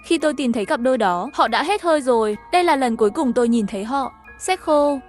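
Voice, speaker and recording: High-pitched woman narrating a story. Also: animated, quick pace. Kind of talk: scripted first-person story narration. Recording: music behind the voice.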